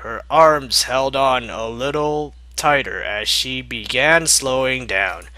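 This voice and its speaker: A man narrates a fan story at an unhurried pace with a sarcastic edge. His voice is bright and forceful, and the recording is dry. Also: medium pitch, no room sound. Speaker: fanfiction reader.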